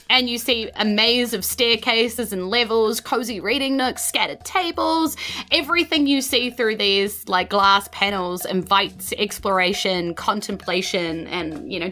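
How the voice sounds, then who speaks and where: A woman's voice: medium pitch, sharp tone. A woman with a New Zealand accent narrating a tabletop fantasy game as game master, descriptive and conversational.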